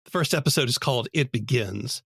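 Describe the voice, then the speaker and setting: A man's voice: mid-range voice, a little fast, vocal fry, a bit bright, slight background noise. A man hosting a podcast, conversationally putting questions and giving explanatory summaries. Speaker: podcast host, interviewer. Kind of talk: conversational interviewing and episode summary.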